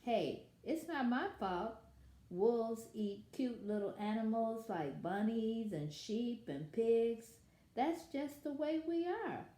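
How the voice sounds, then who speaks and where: A sly, folksy storybook wolf read by a woman pleads his innocence at an unhurried pace. The delivery is confiding and indignant, leaning on words, in a room with strong echo. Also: on the high side, expressive, dark tone. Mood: defensive. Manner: confiding, indignant, drawn-out emphasis. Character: self-justifying male storybook wolf, chatty, folksy, sly. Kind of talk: children's storybook read-aloud. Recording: echoey room.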